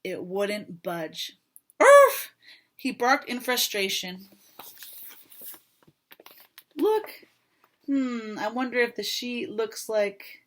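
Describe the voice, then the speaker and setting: High-pitched woman reading to children. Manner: expressive, pauses to ask children questions. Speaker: children's story reader. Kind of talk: read-aloud storytelling for young children.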